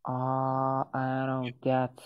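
A man in his twenties from Iran chats slowly in halting English, filling his pauses with hesitations. His voice is smooth and clear. The audio is dull and lo-fi. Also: medium pitch, completely flat delivery. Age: 20s. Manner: halting, searching for English words with many fillers. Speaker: guest on a chat show. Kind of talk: casual chat.

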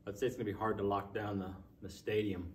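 A man's voice: fairly low pitch, level tone, fast. A man asking a question at a school board meeting. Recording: far from the mic, faint noise.

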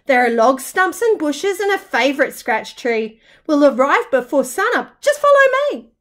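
Woman reading as kind female wombat, very high. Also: very animated, moderate pace, fairly bright tone. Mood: reassuring. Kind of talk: children's picture-book read-aloud.

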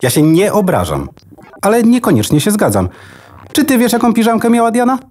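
Pedantic know-it-all hard drive, lively swoops. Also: male voice, high voice, brisk, exaggerated voice. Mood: piqued, boastful. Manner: pedantic, boastful, goes off on tangents. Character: know-it-all talking computer hard drive, male, proud, chatty. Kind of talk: children's radio play acting.

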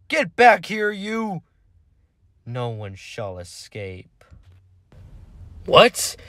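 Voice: mid-range voice, fairly bright tone, no echo, studio quality, heavily acted. A performer voicing a vain, menacing red train engine villain, taunting and boastful in theatrical game voice lines.

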